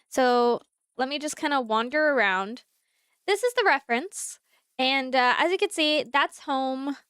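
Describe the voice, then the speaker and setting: High-voiced chatty streamer woman, a bit nasal. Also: fairly bright tone, dry recording, studio quality. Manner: chatty, rambling, talks to animals and chat. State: slightly nasal from congested sinuses. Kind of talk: casual live gameplay chat. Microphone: desk mic at a computer.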